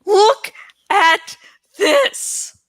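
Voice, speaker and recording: Woman acting a high, anguished teenage farm boy. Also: lively intonation, extremely slow, no room sound, studio quality, full character voice. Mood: angry grief. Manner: shouting, anguished outbursts. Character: distraught, angry teenage farm boy hero.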